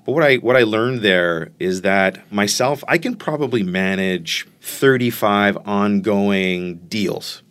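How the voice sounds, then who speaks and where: A man's voice: on the low side, slow, few pauses, a bit bright. A middle-aged man speaking conversationally as an interview guest, explaining his work through personal anecdotes. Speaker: interview guest, sales executive.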